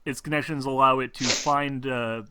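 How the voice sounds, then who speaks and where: A man explaining game rules as game master in a casual, halting, rambling way, often clearing his throat. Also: mid-range voice, fairly even intonation, background noise. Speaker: game master of a tabletop role-playing game.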